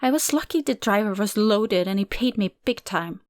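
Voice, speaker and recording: A woman's voice: mid-range voice, expressive, moderate pace, no echo, studio quality, close to an everyday voice. A woman reading a storybook who voices a bitter, foul-mouthed aunt in her fifties, barking and cursing at a young visitor. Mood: angry scornful.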